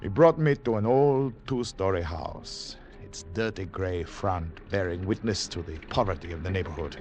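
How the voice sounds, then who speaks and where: An actor playing a weary, guarded agent on the run, in 1940s radio thriller style. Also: male voice, sits low, moderate pace, darkish tone, soft background music, close to an everyday voice. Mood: brooding narration. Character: weary, wary male foreign confidential agent, on the run. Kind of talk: 1940s radio acting, first-person thriller narration. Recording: noisy old 1940s radio broadcast.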